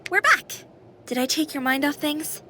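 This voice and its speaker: A woman's voice: high-pitched, expressive, normal speed, background noise. A woman voicing a playful, caring female AI companion with a girlish anime persona in a video game, chirpy, teasing and polite. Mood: helpful, teasing. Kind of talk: scripted video game voice acting. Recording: music behind the voice.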